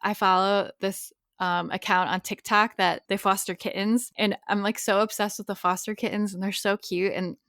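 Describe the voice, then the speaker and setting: A woman's voice: mid-range voice, flat delivery, moderate pace, creaky, hardly any pauses, fairly bright tone, no room sound, studio quality. A woman co-hosting a true crime podcast, chatty and rambling, a little sniffly from allergies. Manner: chatty, rambling, self-deprecating. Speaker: podcast co-host. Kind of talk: casual chat.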